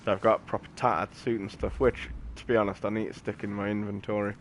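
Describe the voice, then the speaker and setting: A man's voice: fairly low pitch, level tone, quick pace, a little hiss or hum. A man giving casual gameplay commentary in a rambling, matter-of-fact, slangy way. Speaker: game streamer. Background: game music and sound effects.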